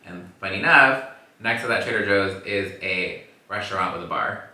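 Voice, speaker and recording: A man hosting a podcast in casual chat, playful and teasing, quick to laugh, from Los Angeles. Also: deep voice, sharp tone, distant mic. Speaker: podcast host. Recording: echoey room, voice a bit off-mic.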